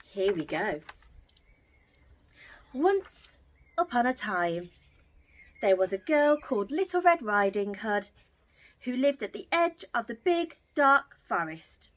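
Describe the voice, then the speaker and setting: A woman telling a story to young children as an entertainer, theatrical and expressive. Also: on the high side, slow, long pauses.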